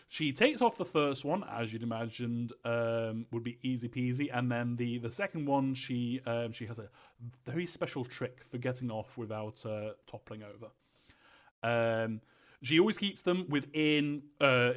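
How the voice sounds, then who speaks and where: Hesitant man with dull lo-fi sound. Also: medium pitch. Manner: hesitant, rambling, thinking aloud. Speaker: game creator answering fan questions. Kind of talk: casual live Q&A talk.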